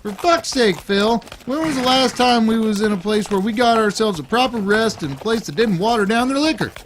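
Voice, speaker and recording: High-pitched, excitable cowboy rascal played by a man. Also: a little fast, slight background noise. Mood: excited. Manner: folksy, excitable, cheeky. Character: mischievous, whiskey-loving younger outlaw brother in the Old West. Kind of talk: audio drama western acting.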